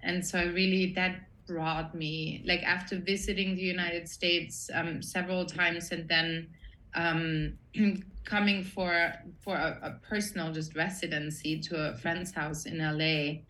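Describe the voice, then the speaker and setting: A woman in her forties with an Austrian accent talks as a guest in a relaxed interview. Her voice sits fairly low, and she speaks in a thoughtful, reflective way, often restarting her sentences as she works toward the point. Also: measured pace, slight background noise. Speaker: guest production designer. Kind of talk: casual interview chat.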